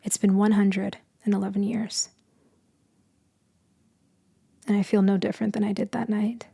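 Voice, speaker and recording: A woman playing a melancholy, lonely immortal vampire in soft, hushed storytelling ASMR that drifts into whispers. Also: medium pitch, little pitch movement, fairly quick, vocal fry, slightly rough, no echo. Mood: wistful, lonely. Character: melancholy immortal female vampire, lonely, tender, haunted by loss. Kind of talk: slow storytelling ASMR roleplay.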